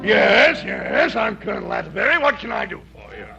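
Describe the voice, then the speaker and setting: Sharp, gravelly army colonel. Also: male voice, high voice, sing-song, normal speed, soft background music, heavily acted. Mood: brisk. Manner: brusque, jeering, exclaiming. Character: brisk, teasing, loud army colonel. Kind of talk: 1940s radio comedy acting. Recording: muffled old-time radio recording.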